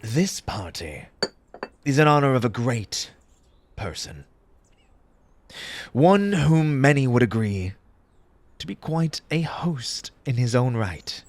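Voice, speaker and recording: A man playing an eccentric, fussy tea-party host in an audio roleplay, pompous and formal in old-fashioned diction, with a breathy, husky voice and an undertone of grief. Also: medium pitch, big pitch swings, slow, pauses often. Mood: reverent, wistful. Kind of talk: theatrical character audio roleplay.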